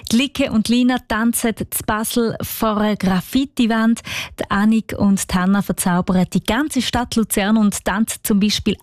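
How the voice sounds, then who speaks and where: Enthusiastic Swiss German woman presenter, higher voice. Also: darkish tone, no echo, clean studio sound. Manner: enthusiastic, addresses young listeners directly. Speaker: children's news presenter. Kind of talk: chatty scripted presenting for kids.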